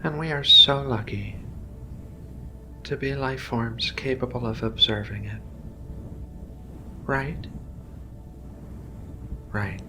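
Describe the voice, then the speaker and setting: A man speaking softly and reassuringly in an ASMR roleplay as a gentle, philosophical alien researcher. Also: medium pitch, slight background noise. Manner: soothing, unhurried, reassuring. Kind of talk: soft-spoken ASMR roleplay.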